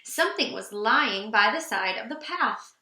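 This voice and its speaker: A woman reading a children's storybook aloud in an animated, expressive way for young listeners. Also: a little high, unhurried, reverberant.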